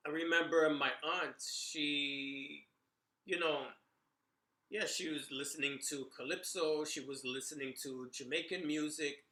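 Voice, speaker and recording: Bright-voiced middle-aged New man. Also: a little high, fairly even intonation, measured pace, reverberant. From New York, Bronx. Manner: conversational, frequent "you know" and "right?". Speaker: commentator sharing memories. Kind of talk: casual monologue.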